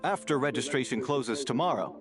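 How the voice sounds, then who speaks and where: A man's voice: mid-range voice, expressive, fairly quick, over music, lightly acted. A man voicing a courteous, orderly tournament official in a video game, speaking formally and politely. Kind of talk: scripted video game dialogue, formal announcement.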